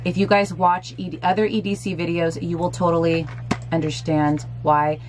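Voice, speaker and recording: A woman in her thirties, slightly hoarse, chatting upbeat to viewers as she shows things to the camera in a small bedroom. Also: on the low side, level tone, moderate pace, noisy background. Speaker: lifestyle vlogger. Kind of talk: casual product show-and-tell.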